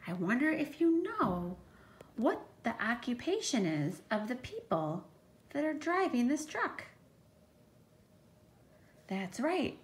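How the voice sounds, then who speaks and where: A woman teacher reading a picture book to young children, speaking in an emphatic, child-directed way with questioning asides. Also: fairly high pitch. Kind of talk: read-aloud storytime with explanatory asides.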